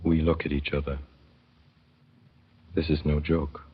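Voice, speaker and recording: A deep, husky male voice narrates a 1930s radio drama with measured, wry timing. The sound is muffled and lo-fi, like an old broadcast. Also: flat delivery. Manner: measured, wry storytelling with deliberate pauses. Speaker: narrator and lead performer.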